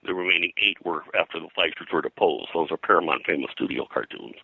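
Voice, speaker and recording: A man's voice: low voice, quick pace, gravelly, sharp tone, husky, dry recording, low-quality recording. An older man talking as an interview guest, reminiscing in conversational, detailed stories with digressions. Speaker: interview guest, pop culture historian and comics artist.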